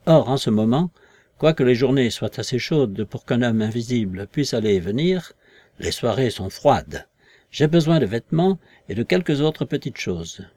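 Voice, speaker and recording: A man's voice: mid-range voice, expressive, normal speed, warm tone, no room sound, close to an everyday voice. A man voicing an invisible man who threatens his visitors and demands his clothes back, in firm, clipped commands. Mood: commanding.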